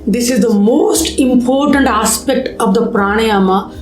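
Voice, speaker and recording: A woman teaching a lecture on yoga breathing practice, in a measured, explanatory way. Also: on the high side, roomy sound, soft background music.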